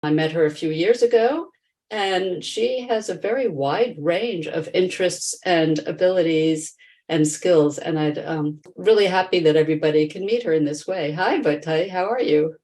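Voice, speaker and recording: A woman's voice: lowish voice, moderate pace, darkish tone. A woman hosting a podcast, introducing and questioning in a conversational interview style. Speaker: podcast host, nonprofit founder.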